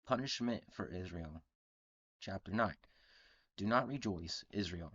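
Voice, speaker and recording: A man's voice: low voice, lively intonation, a bit bright, dry recording, dull audio. A man with a cold, sounding congested, reading scripture aloud and explaining it, stumbling and restarting words often. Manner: halting reading with frequent restarts and throat clearing. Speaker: Bible reading channel host.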